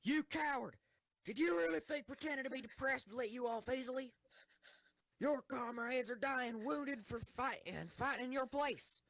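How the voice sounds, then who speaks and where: A woman's voice: high voice, moderate pace, creaky, fairly bright tone, a little hiss or hum, rough recording. A woman acting a ruthless, hot-tempered young female tank commander in an audio drama, commanding and intense. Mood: scornful anger.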